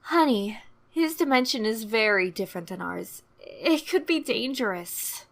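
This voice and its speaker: Woman as a lively, motherly pony princess. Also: fairly high pitch, gravelly. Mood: concerned. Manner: gentle, motherly, measured. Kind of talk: amateur cartoon audio drama acting.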